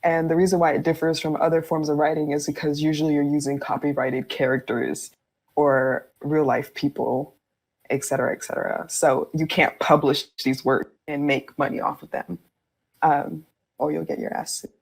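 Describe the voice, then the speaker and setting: Very low-voiced woman chatting as podcast guest. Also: little pitch movement, moderate pace, vocal fry, slightly rough. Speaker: podcast guest, fan fiction writer. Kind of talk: casual explanatory chat.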